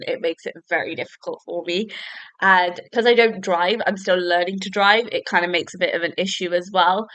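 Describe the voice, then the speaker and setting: A woman's voice: medium pitch, a bit bright, dry recording, poor audio. A young woman hosting her own podcast, chatting and rambling with lots of fillers, a little bunged up from a cold. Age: young adult. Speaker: podcast host. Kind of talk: casual solo book-review podcast.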